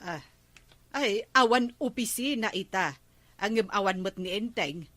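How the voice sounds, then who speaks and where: An inquisitive village wife with an Ilocano accent, played by a woman, suspicious in a comedy drama. Her medium-pitched voice is bright and forceful, in a dry recording. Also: expressive, measured pace, no room sound. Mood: grumbling. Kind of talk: comedy radio-style drama acting.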